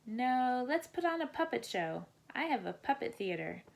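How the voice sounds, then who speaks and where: Storybook role: a woman as a boastful, excitable five-year-old girl, pitched up. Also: moderate pace, gravelly, exaggerated voice. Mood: bossy, excited. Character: eager, show-off five-year-old new girl next door.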